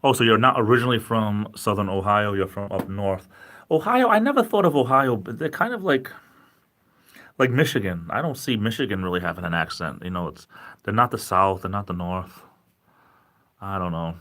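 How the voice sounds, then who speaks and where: A man's voice: on the low side, animated, moderate pace, a bit bright. A man from Rhode Island with a Rhode Island accent, chatting casually and rambling self-deprecatingly as he hosts a livestream from home. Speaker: livestream host.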